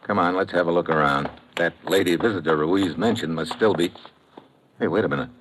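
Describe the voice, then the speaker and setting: An actor playing a terse, wisecracking American investigator, delivering tough noir narration and dialogue for radio. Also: male voice, low-pitched, brisk, fairly bright tone. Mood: brisk, probing. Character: hard-boiled, wisecracking American investigator hero. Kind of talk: first-person noir narration and radio acting. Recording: noisy old-time radio recording.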